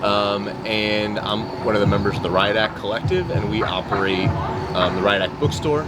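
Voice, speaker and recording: A man from upstate New York answering interview questions in a thoughtful, measured way. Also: lowish voice, very noisy. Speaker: bookstore collective member.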